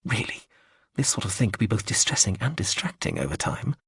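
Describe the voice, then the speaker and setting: A man narrating a first-person story aloud in a measured, wry way. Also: lowish voice, sing-song, husky.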